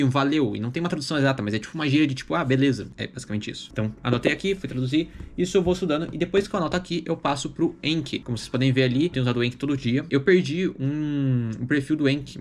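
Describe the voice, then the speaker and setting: A young man with a Brazilian Portuguese accent talks casually and upbeat in a vlog, with lots of fillers. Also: medium pitch. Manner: chatty, upbeat, quick with frequent fillers. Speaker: vlogger documenting learning English. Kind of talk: casual first-person vlog talk.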